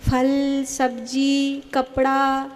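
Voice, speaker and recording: A woman preacher speaks in a high voice at a slow, deliberate pace. She delivers a religious discourse to a congregation, exhorting them emphatically. She leans on repetition and rhetorical questions. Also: monotone.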